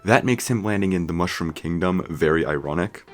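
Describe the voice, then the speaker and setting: A man's voice: deep voice, fairly quick, faint music behind. A man narrating a scripted movie review as commentary. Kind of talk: scripted video essay commentary.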